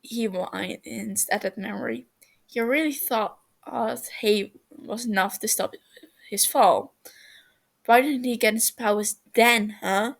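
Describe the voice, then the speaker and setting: Woman reading fan fiction out loud, slow n a bit halting, vocal fry. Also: medium pitch. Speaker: amateur story reader. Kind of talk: storybook-like narration of fan fiction.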